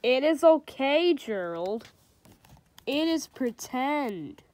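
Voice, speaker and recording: A woman voicing a patient, cheerful female pig from a picture book who plays at being a frog, explaining in a calm, matter-of-fact way. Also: high-pitched, slow, a little hiss or hum, exaggerated voice.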